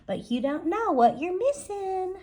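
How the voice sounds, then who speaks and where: A woman's voice: very high voice, sing-song, measured pace, dark tone, voice pushed up, heavily acted. A woman voicing a doting but firm mother cat in a storybook, coaxing and singsong. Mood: coaxing, chiding. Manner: coaxing, singsong, pet names.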